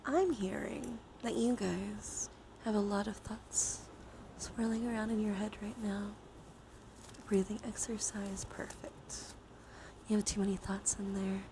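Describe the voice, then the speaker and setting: A woman's voice: medium pitch, steady tone, a little slow. Whispering ASMR woman, noisy room. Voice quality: breathy whispering. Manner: soothing, encouraging, intimate. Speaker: live ASMR streamer. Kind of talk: ASMR, whispered and softly spoken reassurance. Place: small warm room with computers running. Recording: noisy room ambience behind voice.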